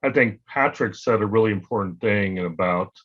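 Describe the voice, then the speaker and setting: A man's voice: fairly low pitch, normal speed, muffled recording. A man on a discussion panel answering politely and carefully.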